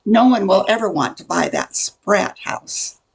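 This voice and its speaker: A woman's voice: mid-range voice, lively intonation, measured pace, vocal fry, breathy edge, heavily acted. An older woman playing a nosy, gossipy welcome-wagon neighbor in a radio comedy, chatty and full of insinuation. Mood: chatty, judging. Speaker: amateur radio play performer. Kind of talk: old-time radio comedy acting.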